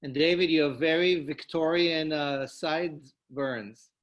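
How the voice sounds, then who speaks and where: A man's voice: a little high, steady tone, a little slow, dull audio. A man hosting as rabbi, giving short joking welcomes and Hebrew greetings. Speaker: rabbi and host.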